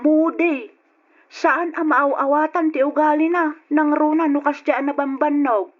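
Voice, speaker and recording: A woman's voice: high voice, fairly even intonation, measured pace, phone-quality sound. A woman playing a kindly elderly grandmother who gives soothing, emphatic advice in an old radio drama, with a Filipino accent. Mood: reassuring.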